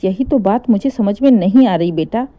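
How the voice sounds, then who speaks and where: A woman's voice: medium pitch, expressive, moderate pace, dull audio, natural voice. A woman voicing a firm, protective older mother advising her married daughter in a family story. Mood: firm, concerned.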